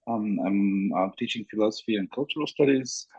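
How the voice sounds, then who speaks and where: Male academic interview guest, fairly low voice, dull lo-fi audio. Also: brisk, mellow, husky, no echo. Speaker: guest, philosophy lecturer and co-editor. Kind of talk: interview self-introduction. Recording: muffled, narrow-band dry line.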